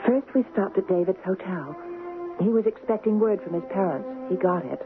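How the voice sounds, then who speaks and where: An actress playing a dreamy, determined young fiancée in a radio drama, earnest, wistful and gently insistent. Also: female voice, mid-range voice, normal speed, over music, lightly acted. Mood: hopeful, teasing. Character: dreamy, determined young engaged woman narrating. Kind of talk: 1970s radio drama acting and narration. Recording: tinny, very noisy 1970s radio broadcast.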